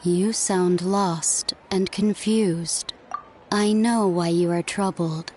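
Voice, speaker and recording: A woman playing an enigmatic, serene figure in a video game, speaking in a measured, solemn way. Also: lowish voice, fairly even intonation, a little mellow, slight background noise. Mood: calm, cryptic. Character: enigmatic, serene female voice speaking cryptic wisdom. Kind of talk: scripted video game dialogue.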